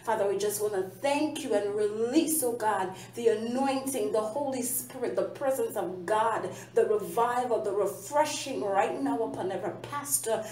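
A woman's voice: medium pitch, darkish tone. Woman, caribbean accent, fervent intercessory prayer, distant mic, echoey room. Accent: Caribbean English. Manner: fervent, repeating "oh God" and "Father" between phrases.

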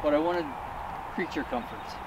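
A man giving a casual tour of his cars, explaining engines in a relaxed, matter-of-fact way with the odd chuckle. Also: fairly high pitch, normal speed, crowd noise, rough recording. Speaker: upholsterer showing his cars. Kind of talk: casual shop tour chat.

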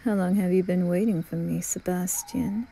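A woman voicing a menacing male demon who speaks in a low, whispery threat. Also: fairly even intonation, moderate pace, vocal fry, mellow, slight background noise.

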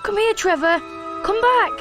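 A woman's voice: very high-pitched, normal speed, over music. A performer voicing a timid, forgetful, anxious little schoolboy in a video game, sounding like a woman playing a boy. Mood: grateful, anxious.

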